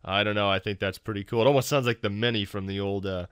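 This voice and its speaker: A man with a fairly low voice hosts a software tutorial. He talks informally, with a wry, self-deprecating manner. The sound is dry and close, with little room around it. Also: fairly even intonation, fast, clean tone, no echo. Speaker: tutorial host. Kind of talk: casual software tutorial narration.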